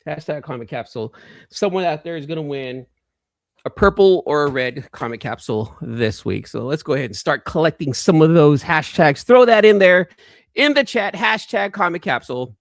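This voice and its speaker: A man's voice: on the high side, lively intonation, normal speed, no echo, studio quality. A man hosting a casual livestream, chatting informally and enthusiastically with viewers and often repeating phrases for emphasis. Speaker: livestream host.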